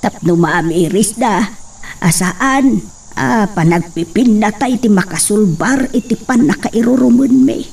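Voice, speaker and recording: A woman's voice: medium pitch, expressive, measured pace, creaky, darkish tone, husky, far from the mic, full character voice. A woman playing a worried, pious mother in a radio drama, pleading and lamenting. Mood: anxious, prayerful.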